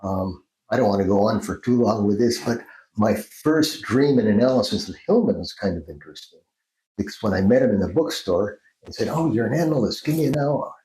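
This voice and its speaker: An older man from Mexico with a Mexican accent, a Jungian analyst telling stories in an unhurried interview, often restarting his sentences. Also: lowish voice, lively intonation, dark tone, reverberant, poor audio. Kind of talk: storytelling interview answers.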